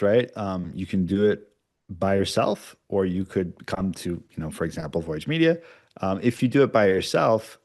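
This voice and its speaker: A man's voice: low voice, darkish tone. A man giving casual, explanatory interview answers, often checking in with short tags like "right?". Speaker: podcast producer, interview guest.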